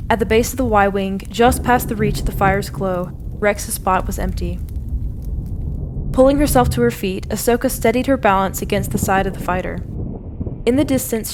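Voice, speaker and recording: Solemn woman narrator over faint music. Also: medium pitch. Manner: measured, solemn storytelling. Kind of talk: scripted dramatic narration of a fan audio drama.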